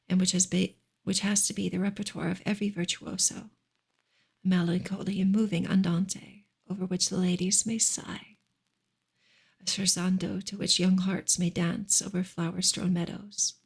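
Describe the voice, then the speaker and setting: A woman softly reading a novel aloud in ASMR style, gentle and hushed, with a breathy voice that often slips into a whisper. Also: on the low side. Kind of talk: soft-spoken ASMR audiobook reading.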